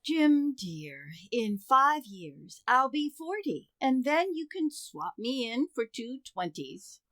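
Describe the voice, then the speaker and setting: A woman's voice: sits high, big pitch swings, slow pace, no room sound, heavily acted. A woman playing a patient, dryly sarcastic housewife and mother in her thirties in an old-time radio sitcom. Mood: wry. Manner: dry, gently sarcastic.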